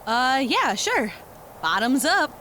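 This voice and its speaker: A woman acts out a playful young spy sidekick in an audio drama. Her voice is high and animated, with slow, casual, expressive delivery. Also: slight background noise, full character voice. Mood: game, casual. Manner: informal, expressive.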